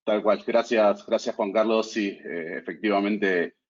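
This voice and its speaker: A middle-aged Argentine man speaks in a deep, husky voice, measured and halting, often repeating words as he introduces himself on a panel. His Argentine Spanish accent is plain throughout. The sound is dull and muffled, like video call audio. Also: steady tone.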